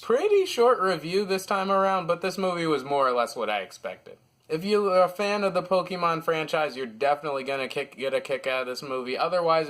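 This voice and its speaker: A man's voice: on the high side, a bit bright. A man hosting a casual, partly scripted movie review, talking informally and with plenty of opinion and slang.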